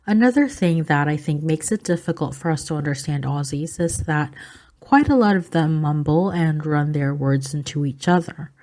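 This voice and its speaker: Filipina woman vlogging, low voice, warm and mellow, calm with lots of ums. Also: moderate pace. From Philippines. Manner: calm, informative, frequent "um" fillers. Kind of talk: explaining culture to camera.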